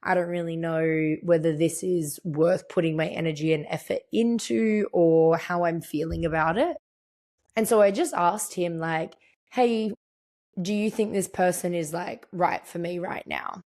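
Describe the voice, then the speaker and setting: A young woman with a croaky, partly lost voice, chatting reflectively as a podcast host and voicing her own thoughts as she tells a story. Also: on the low side, measured pace, darkish tone. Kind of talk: casual storytelling monologue.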